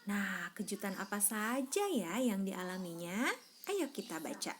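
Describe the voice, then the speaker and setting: A woman's voice: mid-range voice, a little hiss or hum. A woman reading a children's story aloud expressively, drawing out words and prompting a young listener with questions. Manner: animated, prompting, drawn-out words for suspense.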